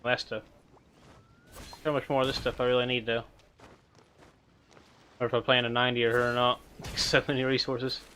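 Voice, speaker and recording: A male streamer giving relaxed, rambling gameplay commentary and chatting with viewers. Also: mid-range voice, moderate pace, long pauses, a little hiss or hum.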